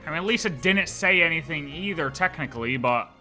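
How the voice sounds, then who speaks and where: A man's voice: fairly high pitch, lively intonation, fairly quick, bright, forceful tone, soft background music. A man livestreaming a video game, chatting casually and thinking aloud in slangy, offhand asides.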